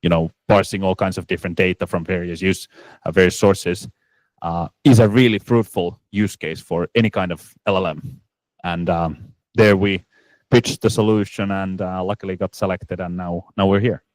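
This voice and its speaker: A man with a very low, deep voice answers audience questions at a tech event, stumbling through restarts and filler words as he goes. His voice comes across close and dry, with no room sound. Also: fairly even intonation, a little mellow, no room sound, low-quality recording. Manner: halting, many restarts and fillers. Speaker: startup presenter. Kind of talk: conference Q&A answer.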